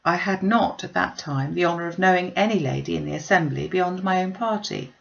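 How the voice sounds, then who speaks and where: Woman reading as a reserved, low-voiced gentleman. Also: vocal fry, highs cut off. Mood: composed. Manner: measured, formal, understated. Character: reserved, proud, courteous young gentleman. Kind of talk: storybook reading.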